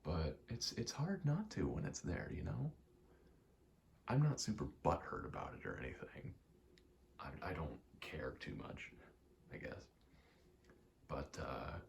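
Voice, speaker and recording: A soft-spoken man giving a hesitant, self-deprecating ASMR ramble. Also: sits low, vocal fry, slight background noise. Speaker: video game ASMR creator.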